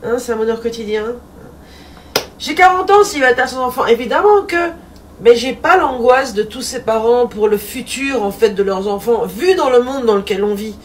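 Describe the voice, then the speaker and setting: A woman's voice: fairly high pitch, animated, normal speed, a little hiss or hum. Chatty woman in her forties, a bit distant. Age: 40s. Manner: playful and chatty, repeats a refrain, laughs often. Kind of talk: casual monologue.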